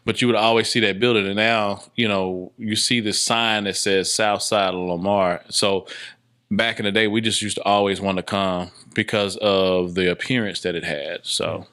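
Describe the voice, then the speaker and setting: A low-voiced man from Texas chats as a guest on a podcast. He tells his stories in a casual, conversational way. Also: steady tone, normal speed, a bit bright, clean tone. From Dallas, Texas, United States. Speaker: podcast guest, filmmaker and musician.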